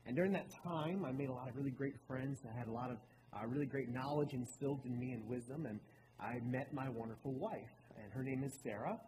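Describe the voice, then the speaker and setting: A man's voice: mid-range voice, quick pace. Earnest young man in an echoing hall. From Baltimore, Maryland. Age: late 20s. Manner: earnest, conversational storytelling. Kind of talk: personal talk in a sermon. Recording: reverberant hall with clear room noise.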